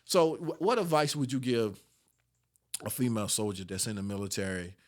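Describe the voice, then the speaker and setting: A man in his fifties with a fairly low voice. He talks as a podcast host in a casual, colloquial way, circling back over his words as he forms a thought. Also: normal speed. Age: 50s. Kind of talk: casual conversational interview.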